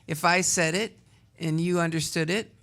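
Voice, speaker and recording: A woman's voice: lowish voice, steady tone, normal speed, fairly bright tone. A woman from Wisconsin representing a community theatre in a casual radio interview, talkative and laughing often. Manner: talkative, laughs often, trails off mid-sentence.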